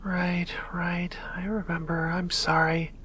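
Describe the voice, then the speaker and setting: A woman playing a composed succubus demon doctor in an ASMR roleplay, breathy, measured and dryly teasing. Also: fairly low pitch, poor audio. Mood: sheepish, grumbling.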